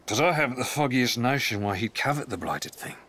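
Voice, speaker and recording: Casting: sly pirate captain male actor, florid slurred swagger, bright edge, very animated pitch, video game. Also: a little fast, a little hiss or hum, lightly acted. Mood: cagey.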